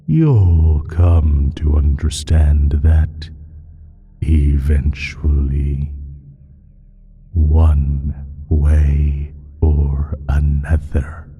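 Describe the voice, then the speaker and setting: A man playing an ancient evil creature in an audio drama, gloating and playfully menacing, speaking in a slow, breathy, condescending drawl. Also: a bass voice, phone-quality sound, faint music behind, exaggerated voice. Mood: condescending, soothing menace. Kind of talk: scripted roleplay audio drama monologue.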